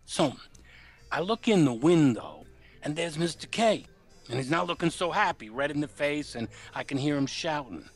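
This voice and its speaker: An actor playing an uneasy working-class chauffeur in a noir radio play, confiding in colloquial, hesitant speech. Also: male voice, a little high, very animated, moderate pace. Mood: bewildered.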